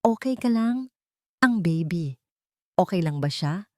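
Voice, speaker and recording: A woman voicing a badly injured man in an audio love drama, weak and halting as he tenderly pleads and apologizes. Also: on the low side, expressive, no echo, studio quality. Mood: pained, concerned.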